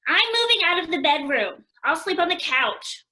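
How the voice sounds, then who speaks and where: A bright, dramatic, hot-tempered teenage sister. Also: female voice, high-pitched, lively intonation, moderate pace. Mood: indignant. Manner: dramatic, accusing. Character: Joe, hot-tempered resentful teenage sister and young writer. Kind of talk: radio play acting.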